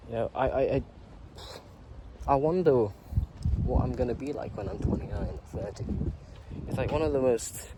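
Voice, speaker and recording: Teen male vlogger, mellow voice, rambling and earnest, faint traffic behind. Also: medium pitch, low-quality recording. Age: late teens. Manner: rambling, earnest, swears casually. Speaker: YouTuber. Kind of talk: casual reflective monologue.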